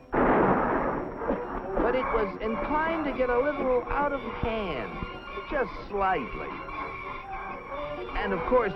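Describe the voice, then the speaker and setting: Eager Martian spaceman, 1950s cartoon, grandiose, high-pitched, muffled film soundtrack with loud music. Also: heavily acted. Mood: proud, fawning. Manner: earnest, grandiose, speech-making with flustered hesitations. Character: eager, boastful, obsequious Martian space explorer. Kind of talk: 1950s animated cartoon narration in character.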